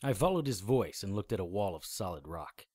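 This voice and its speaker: A man's voice: low-pitched, very animated, brisk, darkish tone, husky, no room sound, clean studio sound, full character voice. A man playing a defiant, wry occult hero in a radio drama, plainspoken in both narration and dialogue.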